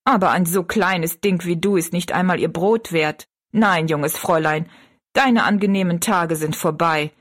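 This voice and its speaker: A woman's voice: on the low side, measured pace. A woman voicing a harsh, contemptuous stepmother in an audiobook story, stern and cutting. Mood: mocking, scornful. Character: cold, scornful stepmother, harsh mistress of the house.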